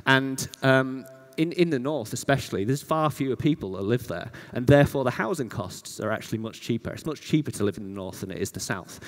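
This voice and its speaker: A man's voice: medium pitch, lively intonation, brisk, hardly any pauses. A man giving an informal, explanatory conference presentation with emphatic repetition. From Yorkshire, northern England. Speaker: conference speaker, data scientist. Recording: reverberant hall sound.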